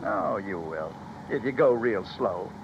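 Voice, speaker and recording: An American actor playing a cocky, menacing armed robber who barks orders in gangster slang, in a 1950s radio crime drama. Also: male voice, fairly low pitch, very animated, measured pace, gravelly, exaggerated voice. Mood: gloating. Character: menacing armed stick-up man, cocky and gloating. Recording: noisy muffled vintage radio recording.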